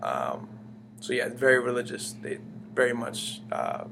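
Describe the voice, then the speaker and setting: A man's voice: mid-range voice, sing-song, moderate pace, gravelly, noisy background. A young man from the United States, answering interview questions in a casual, rambling way, with many restarts and "like" fillers. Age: young adult.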